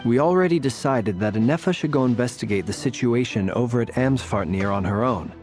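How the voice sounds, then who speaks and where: A man voicing a dry, composed gentleman fighter in a video game, courteous and matter-of-fact. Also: medium pitch, a little fast, soft background music, lightly acted.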